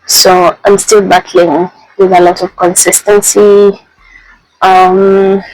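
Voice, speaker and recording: A young woman vlogging casually, speaking softly with a voice made raspy by a flu, pausing often with ums. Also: on the low side, no room sound, rough recording. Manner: soft-spoken, halting with many ums. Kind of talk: casual personal vlog. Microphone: handheld mic.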